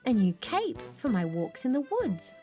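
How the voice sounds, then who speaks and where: A woman's voice: a little high, wildly swooping pitch, a little slow, warm tone, soft background music, pitched above the performer's own voice. Woman as a plucky, spirited little storybook girl. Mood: delighted.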